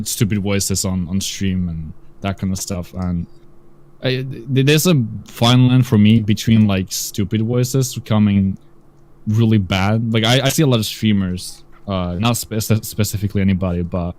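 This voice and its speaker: A young man chats on a live stream. his voice sits a little low, and he rambles as he gropes for words, leaning on "like" and "um" along the way. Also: normal speed, darkish tone. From Russia. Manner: rambling, searches for words with many fillers. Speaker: guest. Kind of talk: casual stream chat.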